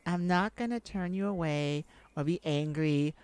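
A woman's voice: low-pitched, measured pace, a little mellow, smooth. A woman playing a chipper, silly, caring roommate and best friend in a comforting audio roleplay, with playful, theatrical phrasing. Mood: caring, reassuring. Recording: noisy, birds and insects behind. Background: nature sounds with birdsong and insects.

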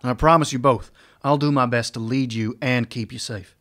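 A man's voice: medium pitch, fairly even intonation, normal speed, fairly bright tone, lightly acted. An actor playing a weary, terse male marshal in a western radio drama. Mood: grave. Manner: terse, guarded.

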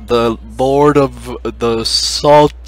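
A man's voice: mid-range voice, fairly even intonation, extremely slow, soft background music. A young man chatting casually as a podcast co-host. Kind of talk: casual chat.

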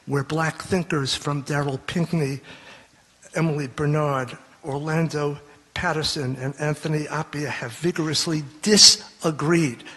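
Older man reading at a podium, husky. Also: a little high, a bit bright. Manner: measured, earnest, emphatic repetitions. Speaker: panel discussant. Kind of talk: reading a prepared statement at a podium. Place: large concert hall stage. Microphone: podium microphone. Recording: long hall reverb.

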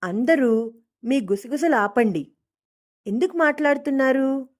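A woman's voice: high voice, lively intonation, measured pace. A woman storyteller voicing a bossy schoolgirl class leader, commanding and scolding, in a children's story.

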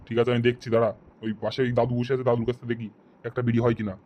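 A man's voice: medium pitch, completely flat delivery, racing, dull audio, natural voice. A man voicing an exasperated, sarcastic, foul-mouthed friend in a comic cartoon.

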